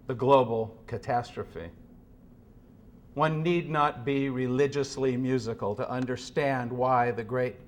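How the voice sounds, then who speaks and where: Solemn, deliberate man addressing a meeting. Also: medium pitch. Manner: solemn, deliberate, measured phrasing. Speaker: speaker at a meeting. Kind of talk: introducing and reading philosophical prose aloud to a gathering. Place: meeting room with an audience.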